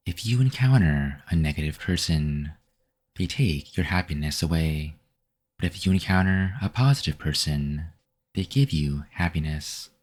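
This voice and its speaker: A man guiding a meditation with calm, measured, instructive scripted narration. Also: sits low. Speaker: meditation guide.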